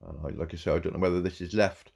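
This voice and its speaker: A man, croaky from a cold, giving halting, warmly praising commentary on a farm game. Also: deep voice, fairly even intonation. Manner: halting, repeats words, warm praise. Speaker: farm game channel host. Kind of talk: casual gameplay commentary.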